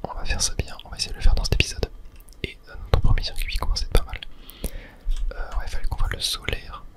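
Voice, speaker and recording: A person whispering in a breathy ASMR style while commentating on a video game, rambling and correcting themselves with little mouth-sound fillers. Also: fast talker. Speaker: gaming video host.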